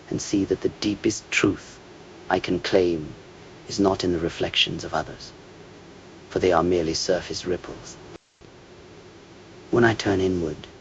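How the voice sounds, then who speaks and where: A man speaks very slowly in a low, gravelly voice, as if in quiet meditation. His scripted phrases are measured and contemplative, often softening toward a whisper at the ends. A faint low noise sits under the voice. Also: dull audio. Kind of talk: slow meditative scripted monologue.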